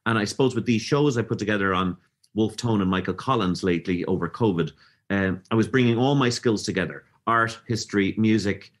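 A man's voice: on the low side, a little fast. A middle-aged man with a Dublin Irish accent, telling his story as a podcast guest. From Ireland. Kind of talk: casual interview talk.

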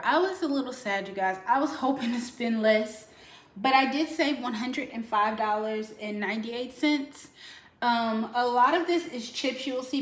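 A woman's voice: a little high, a bit bright. Chatty woman vlogging in an echoey kitchen. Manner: chatty, addresses viewers directly. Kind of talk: casual grocery haul vlog. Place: home kitchen, indoors. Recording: echoey kitchen with faint noise.

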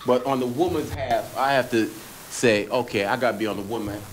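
A Jamaican man talking on a radio panel in a small studio, speaking into a boom mic. He rambles in a relaxed way and keeps checking that the listener follows him. A faint hiss sits under his voice. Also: fairly quick, low-quality recording. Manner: laid-back, rambling, keeps asking if you understand. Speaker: radio show co-host. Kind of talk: casual radio discussion.

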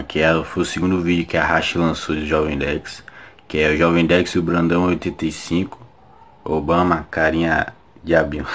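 A young man, casually reacting to a rap video from a small room on a webcam. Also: low voice. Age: young adult. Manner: relaxed slangy chatter, laughs along. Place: small indoor room with plain wall. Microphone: webcam in front of face.

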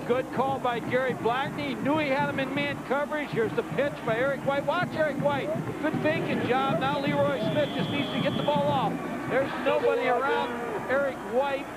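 A man's voice: extremely high pitch, steady tone, moderate pace, very noisy. A man giving color commentary on a college football game, explaining plays in a coaching style.

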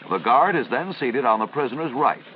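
An American man reading formal, measured, instructional narration in the style of a 1950s military training film. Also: mid-range voice, expressive, slight background noise. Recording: dull, band-limited vintage film soundtrack.

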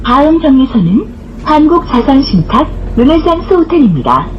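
Lady doing bus stop announcements, really high lively pitch, formal and careful, muffled recording w some bus noise. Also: moderate pace. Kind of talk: scripted transit announcement. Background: bus interior ambience.

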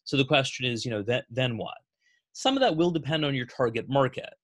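Guy running a zoom q&a, measured, lots of uhs, dry sound, middle pitch. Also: animated, no room sound. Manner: measured, with frequent "uh" fillers. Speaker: host of agency Q&A. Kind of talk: moderating a video call Q&A. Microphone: video call.

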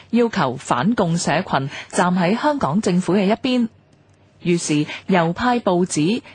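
A woman radio presenter with a Hong Kong Cantonese accent, reading a scripted documentary in a formal, measured way. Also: mid-range voice, a little mellow, breathy edge, dry recording.

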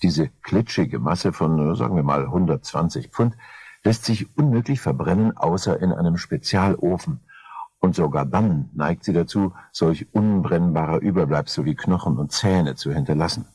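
Low husky older male crime narrator. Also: normal speed, darkish tone, no room sound. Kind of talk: scripted crime audiobook narration.

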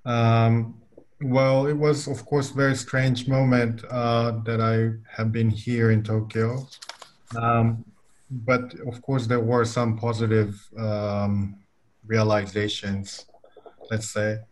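A man's voice: mid-range voice, little pitch movement, slow pace. A man in his thirties talking reflectively and hesitantly on a panel video call from a room indoors. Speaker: architect, panelist. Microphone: video call, close to laptop.

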